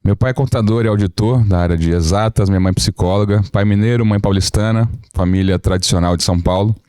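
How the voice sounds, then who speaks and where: Man in his thirties, low, relaxed storyteller. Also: fast talker, clear, resonant tone, no echo, clean studio sound. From Brazil. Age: 30s. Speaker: guest lawyer. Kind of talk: casual podcast interview.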